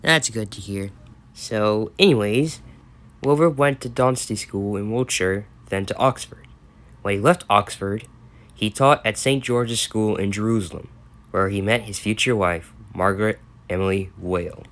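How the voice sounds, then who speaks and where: A man's voice: lowish voice, a little slow, fairly bright tone. A man with an American accent reading a bedtime story and chatting about it as he goes. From United States. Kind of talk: storytelling and chat.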